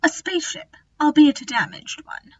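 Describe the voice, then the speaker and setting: A woman's voice: sits high, normal speed, highs cut off, lightly acted. A woman playing a clever, resolute alien Time Lord who looks thirty, composed and explanatory, in a fan audio drama. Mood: focused, matter-of-fact.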